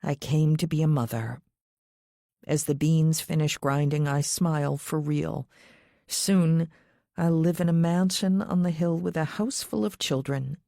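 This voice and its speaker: A low-pitched woman narrates a thriller audiobook in the first person. The sound is dry, with very little room around her scripted reading. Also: unhurried, gravelly, dark tone, dry recording.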